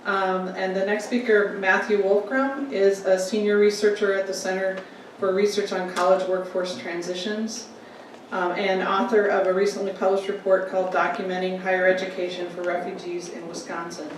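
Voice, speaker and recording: A woman introducing a panel at a public event, reading bios with many ums and pauses. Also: medium pitch, little pitch movement, slight background noise. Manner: halting, many ums and restarts. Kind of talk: formal introductions. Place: lecture room with audience. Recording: echoey room from a distant mic.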